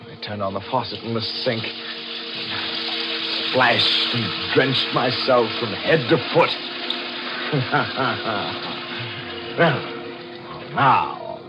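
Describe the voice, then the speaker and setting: An actor playing a cunning, gloating murderer narrating his crime with intense, dramatic pauses in a 1940s radio thriller. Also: fairly low pitch, animated. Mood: triumphant. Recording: muffled 1940s radio recording with organ music. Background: dramatic music.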